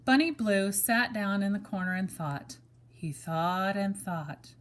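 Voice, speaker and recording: A woman's voice: medium pitch, takes it slowly. Woman reading a children's story expressively. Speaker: storybook reader. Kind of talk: children's story read-aloud with brief asides to listeners.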